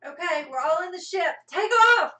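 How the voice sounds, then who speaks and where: A woman playing a brisk, matter-of-fact space crew member in a live radio play. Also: very high voice, pushed and bright, distant mic. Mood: alert. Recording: echoey room, off the mic.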